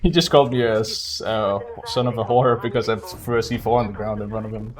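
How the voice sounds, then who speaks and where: A man giving casual, halting live commentary as he streams a video game speedrun. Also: lowish voice.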